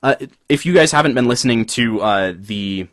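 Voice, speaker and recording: A man's voice: mid-range voice, normal speed, dry recording, clean studio sound. A man co-hosting a podcast, talking casually about acting, rambling with lots of fillers. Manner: relaxed, rambling, many fillers. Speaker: podcast co-host. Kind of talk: casual chat.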